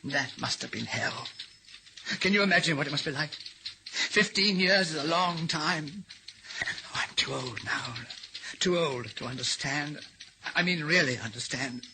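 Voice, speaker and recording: An actor in a radio drama playing a middle-aged newspaperman, guilt-ridden and halting, cracking under pressure. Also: a little high. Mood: anguished. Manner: halting, repetitive, overwrought. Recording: hissy old radio broadcast recording.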